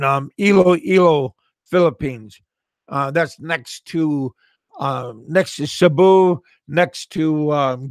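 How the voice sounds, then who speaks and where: A man from Alaska hosts a relaxed livestream chat and talks slowly. He stumbles and repeats himself as he answers viewers, in a slightly raised voice. The sound is dry and close. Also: a little high, no echo. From Alaska, USA. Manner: halting, repeats words and restarts often. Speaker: livestream host. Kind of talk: casual live-chat talk reading viewer comments.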